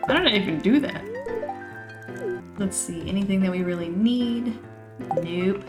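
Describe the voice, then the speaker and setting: Hoarse woman chatting over game music. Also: mid-range voice, measured pace. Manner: chatty, rambling, laughs often. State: losing her voice, a bit hoarse. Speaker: let's play host. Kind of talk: casual gameplay commentary.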